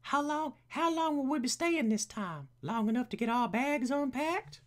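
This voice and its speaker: A man's voice: extremely high pitch, animated, moderate pace, a bit bright, pitched above the performer's own voice. A man voicing a formal, courteous elderly British butler from a comic book, dry and devoted, with a hesitant delivery.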